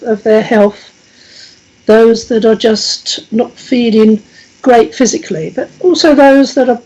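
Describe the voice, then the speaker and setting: A woman with a croaky, husky voice leads a spiritualist service. She speaks gently and steadily, often restarting phrases as she guides listeners through prayers. Her pitch sits in a middle range. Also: highs cut off. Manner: gentle, measured, with frequent small restarts. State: croaky, coughing voice. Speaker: church service leader. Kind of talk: reading prayers and leading a healing meditation.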